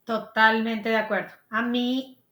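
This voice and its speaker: Woman, podcast host: bright, chatty delivery, somewhat high voice, roomy echo. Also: level tone, measured pace. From Colombia. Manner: chatty, searching for words with many restarts. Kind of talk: casual conversation.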